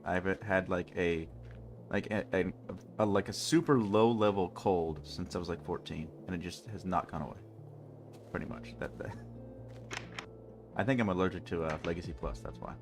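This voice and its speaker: A man's voice: sits low, normal speed, faint music behind. A man with a stuffy nose chatting casually and rambling jokily with his chat while streaming a game. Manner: rambling, jokey, talks to chat.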